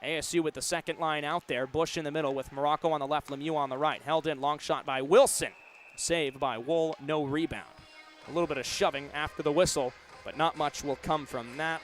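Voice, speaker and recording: A young man in his twenties works as a hockey play-by-play announcer on radio. His voice sits fairly high for a man. He narrates the action live in short, vivid bursts. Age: 20s. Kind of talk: live radio sports commentary.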